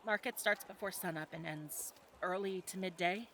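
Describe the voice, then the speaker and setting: Bright-voiced medieval fantasy tavern woman, acted by a woman. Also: on the low side, normal speed, slight background noise. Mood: guiding. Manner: conversational, gently instructive. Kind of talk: audio roleplay acting, adventure ASMR.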